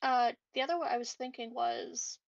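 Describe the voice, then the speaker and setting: A woman's voice: a little high, steady tone, moderate pace, gravelly, lo-fi sound. A woman playing a tabletop game, talking casually and hesitantly as she checks the rules.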